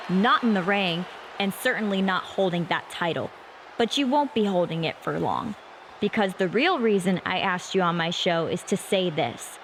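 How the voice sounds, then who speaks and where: A woman's voice: mid-range voice, noisy background. A woman playing an indignant pro wrestler who hosts an interview segment, speaking with accusatory emphasis in a scripted promo. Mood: angry, demanding. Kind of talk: scripted sports-entertainment promo.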